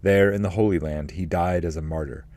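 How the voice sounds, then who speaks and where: A man giving a scripted literary narration and reading of poetry, in a measured, formal way. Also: low voice, fairly even intonation, dark tone. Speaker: narrator, reader.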